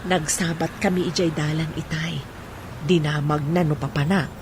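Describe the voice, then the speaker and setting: A woman's voice: low-pitched, fairly even intonation, normal speed, noisy background, close to an everyday voice. An actress playing a poor but proud mother whose son has become a policeman, speaking earnestly.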